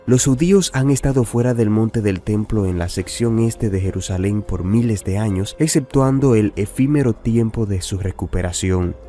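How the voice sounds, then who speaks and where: A man reading a scripted religious news report in a measured, even way. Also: lowish voice, soft background music. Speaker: narrator. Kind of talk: scripted narration.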